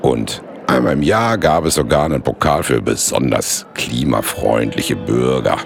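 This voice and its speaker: Very deep, gravelly male narrator. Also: expressive, a little slow, faint music behind, heavily acted. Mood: wry. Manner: wry, blunt, slangy. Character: laid-back working-class buddy narrating, wry and blunt. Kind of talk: colloquial radio drama narration.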